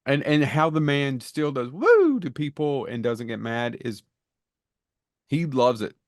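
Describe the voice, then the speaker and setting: A man's voice: mid-range voice, big pitch swings, unhurried, no room sound, studio quality. A middle-aged man co-hosting a podcast, chatting casually in a blunt, joking, sweary manner. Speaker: podcast co-host. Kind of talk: casual comedic banter.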